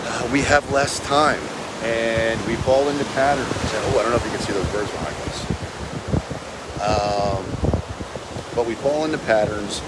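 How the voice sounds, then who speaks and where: A man in his forties giving a casual motivational talk to a phone camera on an outdoor beach, earnest and rambling with fillers. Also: medium pitch. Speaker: real estate agent vlogger. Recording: heavy wind and surf noise. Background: waves and wind.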